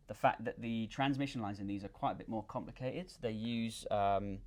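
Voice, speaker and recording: A man speaking as a product designer in an interview, explaining technical details informally with frequent hesitations and pauses. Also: on the low side. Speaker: loudspeaker designer and company representative.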